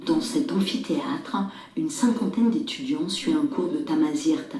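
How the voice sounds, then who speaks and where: Radio reporter lady narrating and asking questions, warm tone, bit of echo. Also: low-pitched, unhurried. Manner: plain, conversational, with fillers. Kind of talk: radio documentary narration and on-site interviewing. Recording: echoey room with faint music.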